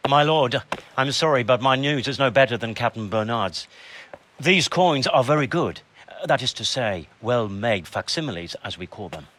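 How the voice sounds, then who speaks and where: A man's voice: mid-range voice, animated, normal speed, a bit bright. An actor playing a hesitant, deferential and pedantic medieval master craftsman in a game cutscene. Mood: apologetic. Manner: hesitant, fussy, apologetic. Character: nervous, deferential, pedantic medieval coin expert. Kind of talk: dramatic video game cutscene acting.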